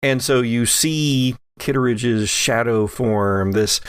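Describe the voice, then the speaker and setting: A man narrating a horror tabletop game as game master, with deliberate, suspenseful storytelling. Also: medium pitch, clear, resonant tone, dry recording, studio quality.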